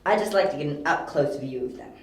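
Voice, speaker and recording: A very echoey recording of a man acting as a young detective in an amateur audio drama. His voice sits a little high, and he explains things briskly, with confident, analytical insistence. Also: close to an everyday voice. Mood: curious. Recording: very echoey room.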